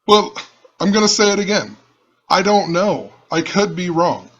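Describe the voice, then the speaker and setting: A man with a rough, fairly high voice talks in a casual, rambling way and keeps coming back to the same points as he thinks out loud. The recording sounds dull and lo-fi. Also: very animated, measured pace. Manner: musing, rambling, repeats himself. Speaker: channel host. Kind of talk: casual screen-share commentary.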